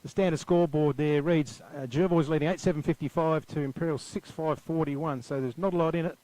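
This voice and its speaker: An Australian man doing live commentary on a local football match, calling the play with quick excitement. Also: on the high side, rough recording.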